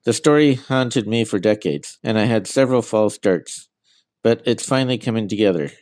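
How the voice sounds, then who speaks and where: A man's voice: lowish voice, moderate pace, darkish tone, no echo. A middle-aged man being interviewed as an author, giving answers about their own work.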